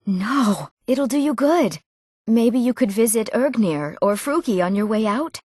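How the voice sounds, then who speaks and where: A young woman voicing an eager village woman in a fantasy video game, speaking earnestly and clearly in scripted lines. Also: a little high, expressive, a little slow, darkish tone, dry recording, studio quality. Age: young adult.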